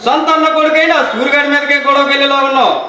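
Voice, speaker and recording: A man's voice: very high voice, steady tone, racing. A man playing an impatient, exasperated straight man in a stage comedy, scolding theatrically. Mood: questioning. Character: impatient, exasperated male companion of a drunkard, comic straight man. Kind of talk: Telugu comic stage drama acting. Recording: echoey stage hall, loud crowd noise.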